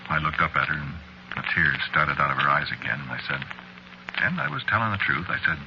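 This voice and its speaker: On a dull, noisy old radio recording, a shifty American store clerk confiding a dark tale. His voice sits low and swings widely in pitch. He talks in slangy, folksy, offhand 1940s suspense style, with the cool air of a killer. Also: lively intonation, quick pace, gravelly, full character voice. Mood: casual, confiding. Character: shifty working-class store clerk, coolly confessing killer. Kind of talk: 1940s radio suspense acting, confiding first-person narration.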